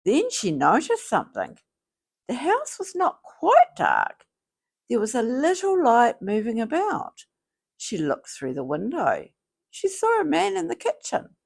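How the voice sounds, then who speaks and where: Slow, very animated woman reading a children's story. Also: a little high, vocal fry, husky. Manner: slow, theatrical, deliberate phrasing. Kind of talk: expressive scripted storybook read-aloud.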